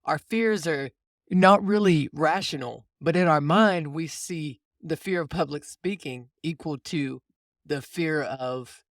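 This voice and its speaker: A man's voice: a little high, takes it slowly, dry recording, studio quality. A man hosting a casual coaching conversation, explaining things informally and often rephrasing as he goes. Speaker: podcast host and mindset coach.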